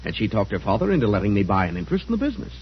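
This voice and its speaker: A man playing a smooth-talking, wayward gambler brother in an old-time radio drama, casual and coaxing. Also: fairly low pitch, wildly swooping pitch, very fast, exaggerated voice. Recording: muffled, hissy old radio recording.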